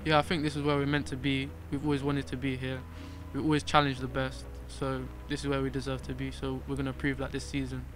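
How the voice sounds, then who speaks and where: A young man in his late teens from south London with a South London accent, a young footballer giving calm, confident interview answers outdoors in a stadium. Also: mid-range voice, fast, few pauses, background noise. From South Norwood, south London. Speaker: academy footballer. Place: outdoors in empty stadium stands. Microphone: stand-mounted mic. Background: background music.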